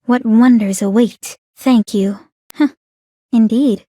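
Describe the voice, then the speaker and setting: An actress voicing a calm, clinically curious young woman in a video game, speaking in a formal, measured, coolly teasing way. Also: on the high side, no echo, studio quality. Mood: composed, appreciative. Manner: composed, measured, formal, inquisitive. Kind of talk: scripted video game character voice lines.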